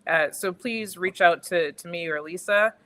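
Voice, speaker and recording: A woman's voice: fairly low pitch, moderate pace, a bit bright. A woman co-hosting a podcast on a video call, talking in an encouraging way. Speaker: podcast co-host. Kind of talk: casual video-call chat.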